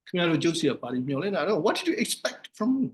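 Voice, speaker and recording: Burmese-accented male monk teacher, fast, slightly high voice, repeats his points. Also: very animated. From Myanmar. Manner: switches between Burmese and English, repeats phrases for emphasis. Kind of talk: casual dharma talk lesson.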